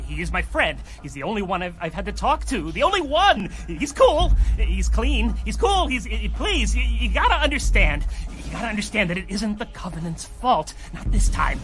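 An actor playing a frightened, pleading male prisoner in a motion comic, stammering and repeating himself nervously. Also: sits high. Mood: panicked pleading. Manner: stammering, repetitive, nervous babbling. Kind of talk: dramatic motion comic voice acting. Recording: voice over loud dramatic music.

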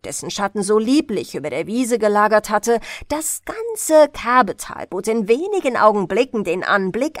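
Slow, lively-pitched woman telling fairy tales. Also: dry recording, clean studio sound. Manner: expressive, measured storytelling. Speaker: audiobook narrator. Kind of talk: scripted fairy-tale narration, bedtime storytelling.

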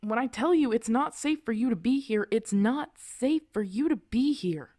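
A woman playing a guarded, protective lone werewolf in an intimate ASMR audio roleplay, earnest and halting. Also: on the high side, warm tone, no echo, clean studio sound, lightly acted. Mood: frustrated, insistent. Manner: earnest, halting, weary sighs.

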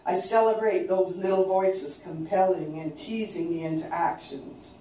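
An older woman from coastal British Columbia reading her own poems aloud in a slow, measured recitation at an open mic in a small venue. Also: lowish voice, fairly even intonation, warm tone. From coastal British Columbia, Canada. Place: small dim performance venue, indoors. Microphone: far camera. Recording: very echoey room, distant, faint noise.

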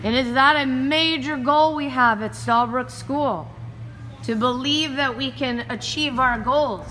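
A woman's voice: sits high, unhurried, bright, forceful tone, big-room sound, slight background noise. A woman PE teacher giving classroom instructions to children in a school gym, encouraging and coaching them. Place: school gymnasium.

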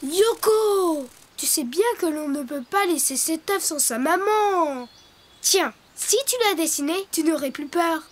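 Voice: extremely high pitch, animated, measured pace, noisy background. An actor voicing a cheerful, helpful little rabbit boy in a children's educational cartoon, explaining things in an encouraging way. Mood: eager, reassuring. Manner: encouraging, instructive. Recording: music behind the voice.

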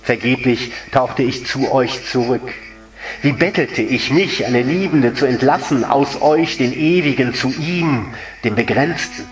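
A man performs an anguished water spirit longing for a human lover. The delivery is slow, lyrical and declamatory, as in a recited stage monologue. It sounds distant in an echoing room, with music beneath. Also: medium pitch, lightly acted. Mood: longing, sorrowful. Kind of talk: literary dramatic monologue recitation. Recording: echoey room, distant mic, music underneath.

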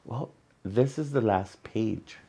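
A man's voice: fairly low pitch, slow pace, vocal fry, dark tone, breathy edge. A man in his forties with a Salvadoran accent, hosting a storytime and reading a picture book aloud, explaining things to children. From San Salvador, El Salvador. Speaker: storytime host. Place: indoor room in front of wooden backdrop.

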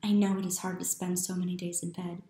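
A woman voicing a small, gentle stepmother who speaks softly and soothingly in a storybook reading. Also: lowish voice, little pitch movement, fast talker, lightly acted. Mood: gentle hushed. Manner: soft, hushed, soothing. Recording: roomy echo.

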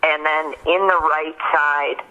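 A woman's voice: low-pitched, steady tone, slow pace, pushed and bright. A woman being interviewed over the phone, recounting casually and hesitantly with lots of filler words. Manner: hesitant, filler-heavy, frequent use of "like". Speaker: witness interviewed by an investigator. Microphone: telephone. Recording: dull, lo-fi phone call recording.

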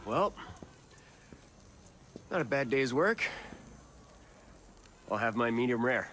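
An actor playing a smug, frustrated handler of hired gunmen in a video game, dry and condescending. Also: male voice, medium pitch, expressive, slow pace, a bit bright, a little hiss or hum. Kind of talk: video game cinematic acting.

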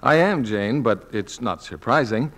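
A male announcer from 1940s radio, with a mid-pitched voice and very varied intonation. He delivers in a polished, salesmanlike style. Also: expressive, a little slow, fairly bright tone.

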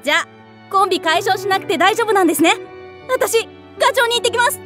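A woman's voice: very high voice, fast talker, music in the background. A woman playing an oblivious, earnest and bubbly young female office worker with a cute, childlike way of talking in a voice drama. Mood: cheerful, eager. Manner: earnest, refers to herself in third person. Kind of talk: Japanese voice drama, anime-like acting.

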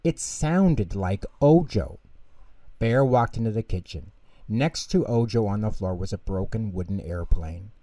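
A man's voice: on the low side, lively intonation, measured pace, mellow, no room sound, far from the mic. A man reading a scripted children's bedtime story as the narrator.

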